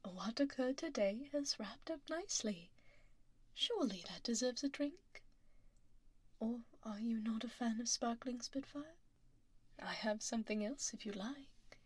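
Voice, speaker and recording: A woman's voice: a little high, husky, no echo. Whispery, poised dragon lady boss played by a woman. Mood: composed, teasing. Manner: whispery, unhurried, poised, gently commanding. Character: powerful composed female dragon executive boss, teasing, exacting. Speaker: ASMR roleplay performer. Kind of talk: soft-spoken ASMR roleplay. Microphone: close binaural microphone. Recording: dry close binaural studio recording.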